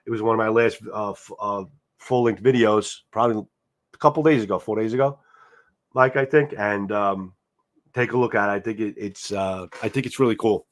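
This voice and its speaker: A man's voice: lowish voice, steady tone, brisk. A man with a New York Italian-American accent hosting a casual livestream, rambling through viewer comments with repeated words and casual swearing. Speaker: livestream host. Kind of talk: casual live chat reading comments. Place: home, seated in a chair.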